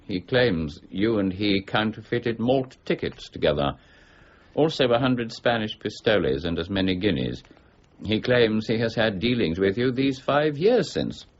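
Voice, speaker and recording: An actor in a period radio drama playing a stern, relentless mint warden with a formal, curt, dryly sardonic way of talking. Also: male voice, lowish voice, animated, unhurried, dull audio, a little hiss or hum. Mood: pressing, sardonic.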